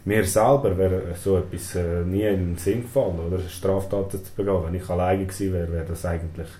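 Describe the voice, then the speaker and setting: A young man in his twenties speaks with a Swiss German accent, his first language Serbian. His voice is low and very dark, muffled and mellow. He hesitates often, filling gaps and ending thoughts with questioning tags, in an echoey small room with faint noise behind. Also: steady tone, brisk. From Switzerland. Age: 20s. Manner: hesitant, many "äh" fillers and tag "oder?". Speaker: prison inmate interviewee. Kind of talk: interview answers. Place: small storeroom in a prison.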